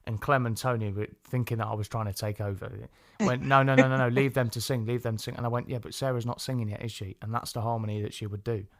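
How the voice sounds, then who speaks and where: A man's voice: medium pitch, steady tone, quick pace, few pauses. A man hosting a music podcast, chatting in a rambling, easygoing way. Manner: rambling, easygoing, many fillers. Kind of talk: casual interview chat.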